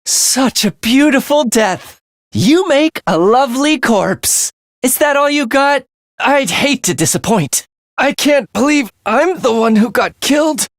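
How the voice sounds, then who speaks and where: An actor playing a morbid young man in a video game, a soft-spoken killer who is languid, menacing and gleefully excited by death. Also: very high-pitched, a little slow, no echo, studio quality, heavily acted. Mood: ecstatic, morbid. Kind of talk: theatrical video game voice acting.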